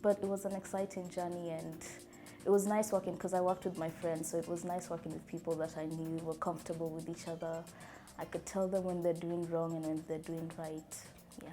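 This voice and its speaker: A young woman from Kenya speaks in a fairly low voice, open and reflective about directing. Music plays clearly under her. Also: little pitch movement, fairly quick, warm tone. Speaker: student playwright and director. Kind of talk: interview.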